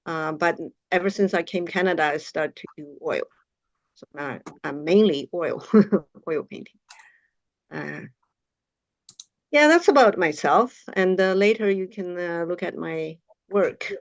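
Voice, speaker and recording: A middle-aged woman with a Chinese accent gives an informal, halting self-introduction. She speaks slowly in a lowish voice. The online call audio is somewhat dull. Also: no echo. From China. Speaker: artist and art teacher. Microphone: online video call.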